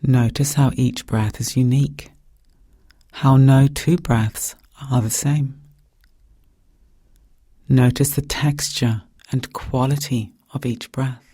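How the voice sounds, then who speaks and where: Mellow, breathy man guiding meditation. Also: mid-range voice, dry recording, studio quality. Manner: calm, gentle, measured phrasing with pauses. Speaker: meditation guide. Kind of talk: guided meditation narration.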